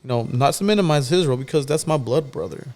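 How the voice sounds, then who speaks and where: A man's voice: on the high side, animated, fast talker, a little mellow. A man in his thirties, a guest on a podcast, talking directly and emphatically in a casual chat and repeating key phrases to drive his point home. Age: 30s.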